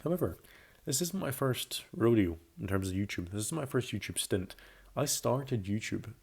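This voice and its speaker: Low, mellow young man with creaky voice. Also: lively intonation, normal speed. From Belgium, Scotland and France. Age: early 20s. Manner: rambling, self-correcting, restating phrases. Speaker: YouTuber. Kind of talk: casual video commentary about himself.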